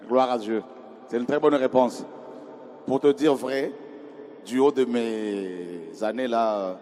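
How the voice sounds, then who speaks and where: Preacher in a reverberant hall. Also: male voice, mid-range voice, takes it slowly, long pauses, some chatter behind. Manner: conversational, questions the congregation, swings from quiet to loud cries. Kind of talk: sermon. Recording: hall reverb with faint ambience.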